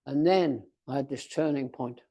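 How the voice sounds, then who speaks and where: A man of about eighty giving a motivational speech, speaking theatrically and emphatically, with deliberate pauses and repetition. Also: mid-range voice, warm tone. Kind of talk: motivational speech to a club audience.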